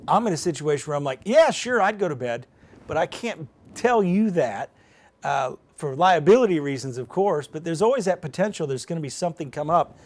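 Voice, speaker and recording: An American man giving an informal live demonstration, explaining off the cuff in a folksy, conversational way and thinking aloud as he goes. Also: a little high. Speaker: presenter of a weather radio programming demonstration.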